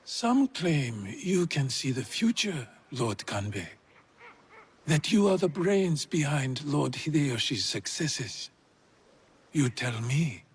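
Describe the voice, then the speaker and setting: An actor playing a cold, scheming samurai lord in a video game, with a theatrical, threatening delivery. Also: male voice, fairly high pitch, sing-song, slow pace, husky, full character voice. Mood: coldly menacing. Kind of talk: dramatic video game acting.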